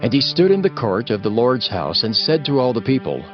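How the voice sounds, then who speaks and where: A man's voice: medium pitch, big pitch swings, fairly quick. A man narrating scripture from a script as the narrator of a dramatized audiobook. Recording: dry, slightly muffled. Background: music.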